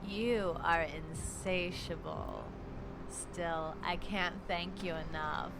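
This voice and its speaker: A woman's voice: on the low side, takes it slowly, creaky, background noise. A woman playing a seductive, grateful character in a vampire video game, speaking in a languid, sultry manner. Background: music.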